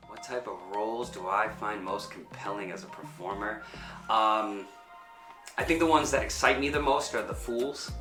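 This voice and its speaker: Male interviewee, reflective performer, fairly deep voice, noticeably roomy recording. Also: moderate pace. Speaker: performer and fight choreographer. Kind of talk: reflective interview answers to camera. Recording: roomy echo with music underneath. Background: background music.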